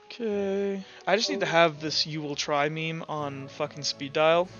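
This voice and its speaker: High, bright-voiced male game master. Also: measured pace, lo-fi sound, faint music behind. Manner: informal, sweary. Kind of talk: narrating a tabletop roleplaying game.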